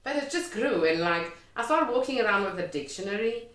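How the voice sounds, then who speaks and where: A woman's voice: mid-range voice, lively intonation, brisk, a bit bright. Echoey woman. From Lesotho and South Africa. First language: Sotho. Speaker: interview guest, music and poetry artist. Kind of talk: conversational life-story interview. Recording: echoey room, distant microphone.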